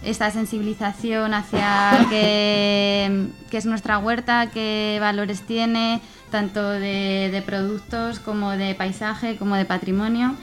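Hesitant woman, fairly even intonation. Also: mid-range voice, slow pace, over music. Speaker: guest, activist. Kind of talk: casual interview talk.

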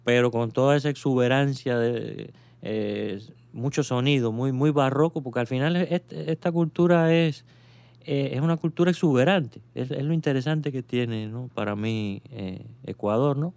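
A man's voice: medium pitch, normal speed, creaky, darkish tone, no echo. Musing man on the radio. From Cuba. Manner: musing, with many fillers and tag questions. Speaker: radio show host. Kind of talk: casual radio chat.

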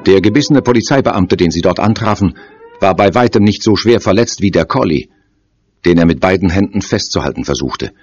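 A man's voice: sits low, lively intonation, brisk, darkish tone, slightly rough, no echo. A man narrating a German horror audio drama as a grave, dramatic storyteller. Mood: grave, lurid. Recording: dull audio-drama tape.

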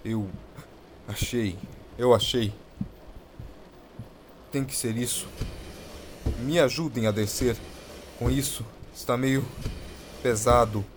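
A man's voice: medium pitch, takes it slowly, long pauses, natural voice. Man acting haughty desperate spirit, noisy recording. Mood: urgent. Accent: Brazilian. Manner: haughty, insulting, formal. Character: haughty, desperate restless male soul possessing another's body. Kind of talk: audio drama acting.

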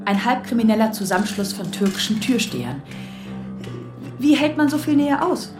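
A woman's voice: fairly high pitch, big pitch swings, normal speed, reverberant. Female police assistant, crime radio play: sardonic, pert, inquisitive, music underneath. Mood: sardonic, probing.

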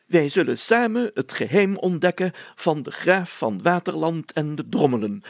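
A man's voice: fairly high pitch, expressive, normal speed, gravelly, no echo, voice pushed up. A man voicing a pompous, blustering village mayor from a storybook, speaking in grandiose, declamatory style. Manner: grandiose, declamatory, easily indignant. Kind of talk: storybook character voice.

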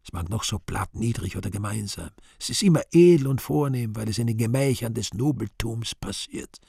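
An actor playing a bitter, self-loathing yet proud devil in a radio play, gravelly and husky, brooding theatrically with sudden swells and hushes. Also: male voice, lowish voice, lively intonation, fairly quick, no echo, clean studio sound, heavily acted. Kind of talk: German radio play acting.

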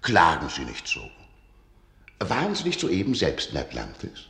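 Mysterious older archivist, very low male voice, courtly and teasing, radio drama, echoing room. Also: wildly swooping pitch, normal speed, vocal fry, a bit bright, heavily acted. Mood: soothing, solemn. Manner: courtly, theatrical, playfully admonishing. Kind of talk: German radio play acting.

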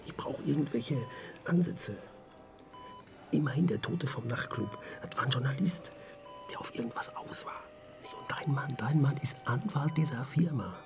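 Gruff investigator, colloquial, radio drama, fry in the voice, music behind. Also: male voice, mid-range voice, expressive, normal speed, in a whisper. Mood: subdued. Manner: colloquial, blunt. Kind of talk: German radio drama acting.

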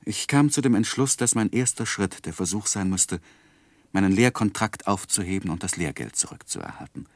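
A low male voice for a sensitive, earnest young gentleman in a vintage radio drama. He speaks in measured literary phrases with heartfelt feeling. The recording is close and very dry. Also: lively intonation, no echo. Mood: reflective, downcast. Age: young adult. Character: earnest, lovestruck, sensitive young gentleman narrating his life. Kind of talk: 1960s German radio play acting and narration.

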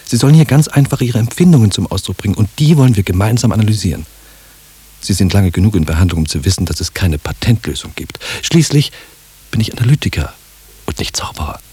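An actor playing a weary psychoanalyst in a comic radio drama, husky and reproving. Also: male voice, lowish voice, animated, fast talker, dark tone. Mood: exasperated, patient. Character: weary, exasperated male psychoanalyst reproving his patient.

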